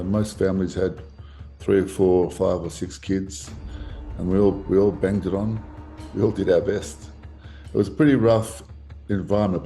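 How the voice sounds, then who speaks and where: Australian man, 60s, low gravelly dark voice, blunt rambling interviewee. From Melbourne, Australia. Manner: blunt, rambling, restarts often. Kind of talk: casual interview.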